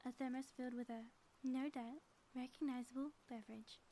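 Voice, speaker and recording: A high, soft-toned villainess played by a woman gloats in grand, florid phrases, savoring her melodrama in an audio roleplay. Her mellow timbre keeps the menace playful and theatrical, over a faint background noise. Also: fairly even intonation, fairly quick. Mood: menacing, gloating. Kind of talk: scripted ASMR audio roleplay.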